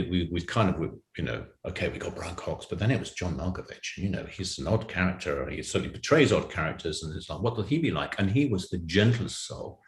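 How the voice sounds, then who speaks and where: Male writer as interview guest, very deep register, emphatic anecdotal chat with repeated phrases, recorded echoey over a call. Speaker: audio drama writer and guest. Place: room on a video call. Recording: roomy, echoing video-call sound.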